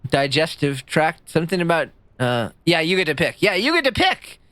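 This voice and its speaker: Man, squeaky, high-ish voice, rambling jokey livestream chatter. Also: moderate pace, no room sound. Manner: rambling and jokey, voice jumping up high when excited. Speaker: streamer. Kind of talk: casual livestream chat reading chat. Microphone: streaming mic.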